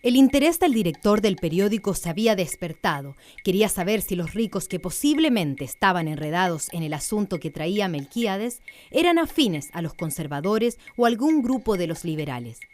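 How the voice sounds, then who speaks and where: A woman's voice: medium pitch, big pitch swings, moderate pace, hardly any pauses, a little mellow, slight background noise. A woman narrating a radio drama in a scripted storytelling style.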